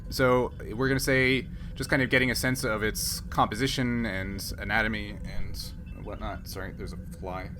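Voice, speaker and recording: A man acting as game master, narrating a tabletop roleplaying game and explaining rules in a halting, think-aloud way. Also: fairly low pitch, fairly even intonation, noisy background.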